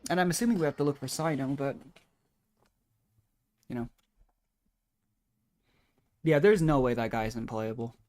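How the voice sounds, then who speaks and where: Very low-voiced woman streaming a game. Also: moderate pace, long pauses, darkish tone. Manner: rambling, musing aloud. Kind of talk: casual gameplay commentary. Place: indoor livestream with game music behind.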